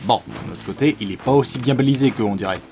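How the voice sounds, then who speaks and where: A man's voice: mid-range voice, fast, over music, lightly acted. A man playing a laid-back, casual old friend in a comedic audio drama. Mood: practical. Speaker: fiction podcast character. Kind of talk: comedic audio fiction dialogue.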